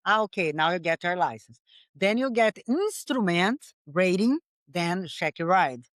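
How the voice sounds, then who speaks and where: A woman's voice: lowish voice, animated, measured pace, dry recording, clean studio sound. A Brazilian woman with a Brazilian Portuguese accent, a commercial pilot and native Portuguese speaker, talking earnestly in a casual interview with non-native phrasing and frequent laughs.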